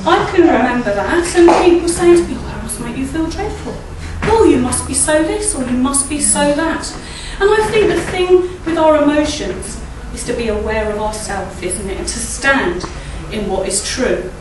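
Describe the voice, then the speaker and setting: A middle-aged woman with a croaky voice speaks at a church service from a lectern mic, chatty and often asking the audience tag questions. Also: sits high, lively intonation, normal speed, few pauses, noisy background. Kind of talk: informal talk at a church service. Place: church hall, indoors. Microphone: standing mic at a lectern, filmed by a far camera. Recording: echoey hall, recorded from a distance.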